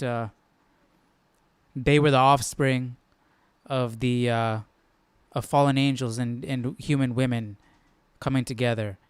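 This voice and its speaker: A man's voice: mid-range voice, clear, resonant tone, no room sound. A man talking informally as a Bible study host, thinking aloud and often checking in with the listener. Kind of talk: casual chat, informal Bible commentary.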